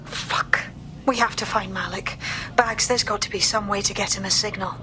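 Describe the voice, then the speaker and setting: A woman's voice: medium pitch, steady tone, normal speed, noisy background, lightly acted. A woman playing a determined hacker resistance leader in a video game, blunt and decisive. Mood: worried.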